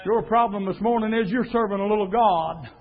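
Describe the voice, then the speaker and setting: A man preaching a fiery sermon, dropping to a low murmur and then rising to a shout. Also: fairly quick. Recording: tinny phone-like sound.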